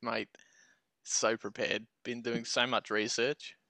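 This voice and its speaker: A man's voice: medium pitch, flat delivery, slow pace, gravelly, sharp tone, no echo. A man with an Australian accent chatting casually as a podcast co-host, trading banter.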